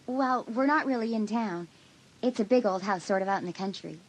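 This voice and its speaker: A woman's voice: mid-range voice, a little fast, lightly acted, poor audio. A woman playing an uneasy, moody teenage girl in a dramatised audiobook, with a hesitant, defensive way of talking. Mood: shy, friendly.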